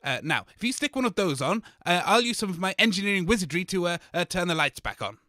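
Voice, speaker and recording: Man playing exasperated co-host, very high male voice, bright and tense, grumbling, radio comedy. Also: lively intonation, quick pace, no room sound, clean studio sound. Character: grumbling, exasperated co-host Fog, self-styled engineering wizard.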